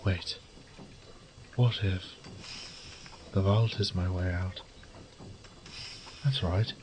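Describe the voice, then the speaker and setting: A man playing a wry, resourceful thief in an audio drama, muttering gruffly and cursing to himself. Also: low voice, very slow, darkish tone. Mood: frustrated, scheming. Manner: gruff, sardonic, swearing under his breath. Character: wry, foul-mouthed adult male thief, resourceful under pressure.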